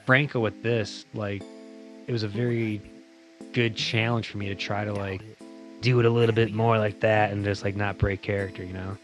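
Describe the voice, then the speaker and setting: A man with a lowish voice talks easygoingly through a film commentary. His delivery stays mostly monotone and is sprinkled with fillers. Light music from the film plays faintly behind. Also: moderate pace. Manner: relaxed, casual, many "like" and "uh" fillers. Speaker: filmmaker. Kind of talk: director's commentary chat.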